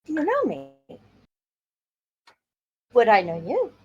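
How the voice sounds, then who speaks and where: A woman with a high, animated voice improvises at a tabletop game. She moves between narrating her character and speaking as them. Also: takes it slowly, slightly rough, highs cut off. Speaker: player in a tabletop roleplay game. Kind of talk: improvised roleplay narration and dialogue.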